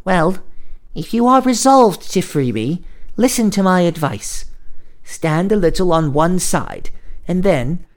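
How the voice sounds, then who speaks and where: A frightened young captive princess, pleading in a high, lively falsetto, as a male storyteller puts her on. Her voice leaps up and down with feeling. It is a storybook reading. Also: slow pace. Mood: earnest.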